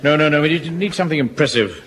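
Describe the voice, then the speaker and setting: An actor playing a pompous, fussy middle-aged bank manager who commands a home guard platoon, in an old radio comedy. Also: male voice, on the high side, lively intonation, very fast. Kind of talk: 1970s radio comedy acting. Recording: old radio recording with loud hiss.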